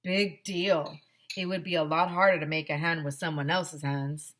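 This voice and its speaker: A woman's voice: sits low, steady tone, normal speed, a bit bright. A woman playing a blunt, envious young schoolboy who grumbles emphatically as he tells his story in a storybook. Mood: dismissive. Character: nine-year-old schoolboy narrator, grumbling, envious, blunt.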